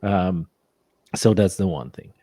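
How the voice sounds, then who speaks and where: Polish-accented male guest, low register, halting casual delivery. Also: warm tone, no room sound. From Poland. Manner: hesitant, restarts phrases, non-native grammar. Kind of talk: casual conversation.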